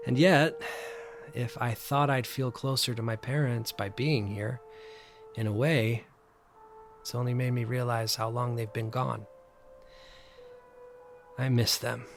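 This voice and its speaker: A man's voice: on the low side, vocal fry. Troubled, wistful man over music. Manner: reflective, halting. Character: troubled, wistful grieving man returning to childhood haunt. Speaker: narrator and lead actor. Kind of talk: audio drama acting with first-person narration.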